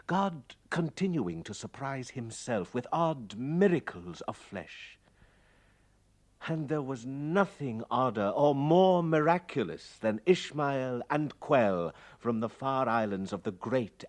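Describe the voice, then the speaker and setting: An actor playing an eager, wonder-struck young spaceman who narrates his voyage in lyrical 1960s radio drama style, on a hissy vintage recording. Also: mid-range voice. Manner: lyrical, theatrical, deliberate phrasing.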